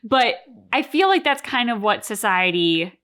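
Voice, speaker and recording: A woman's voice: a little high, very animated, a little slow, fairly bright tone. A woman talking casually and reflectively as a co-host, earnest and talkative, peppering her speech with "like" and "right". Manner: swears casually. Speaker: co-host of a reaction channel.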